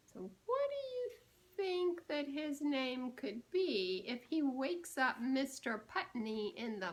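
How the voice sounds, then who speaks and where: Woman, children's story time reader: high, very animated, unhurried, playful questioning, faint background noise. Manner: playful, expressive, drawn-out delivery of key words with teasing pauses. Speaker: children's storybook reader and church children's ministries director. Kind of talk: read-aloud story time for young children, asking guessing questions.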